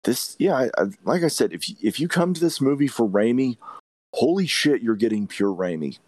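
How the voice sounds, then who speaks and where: A man's voice: medium pitch, expressive, brisk, darkish tone, no room sound. A man hosting a podcast, discussing films casually in an emphatic, opinionated way and swearing freely. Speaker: podcast host.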